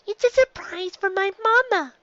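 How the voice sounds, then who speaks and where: Squeaky, earnest little filly pony. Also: moderate pace, bright, forceful tone, dry recording, highs cut off. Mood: earnest, insistent. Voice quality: very high squeaky child voice. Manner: childlike, insistent.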